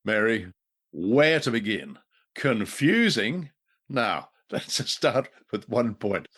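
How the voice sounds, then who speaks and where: Unhurried man co-hosting a podcast. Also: fairly low pitch, very animated, fairly bright tone, breathy edge. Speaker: podcast co-host. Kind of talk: discussion of old radio shows.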